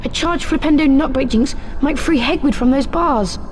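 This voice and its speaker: A timid, hapless schoolboy in a video game. The voice is high, earnest and a little hesitant, with faint noise behind it. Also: male voice, moderate pace. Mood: anxious, earnest. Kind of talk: video game cutscene acting.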